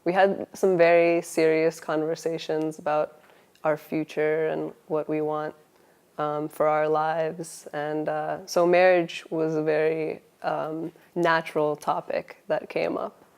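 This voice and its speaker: A woman's voice: low voice, level tone, takes it slowly, a little mellow, smooth. A woman in her twenties, born in Finland, telling her love story in a casual interview, laughing often and restarting her sentences. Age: 20s.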